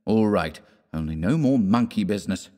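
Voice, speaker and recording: Man playing a bossy, generous young friend, animated. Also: on the low side, moderate pace, close to an everyday voice. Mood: fond, commanding. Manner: insistent, affectionately exasperated. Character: generous, bossy young man of twenty-two, brotherly scold. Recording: long reverberant room echo.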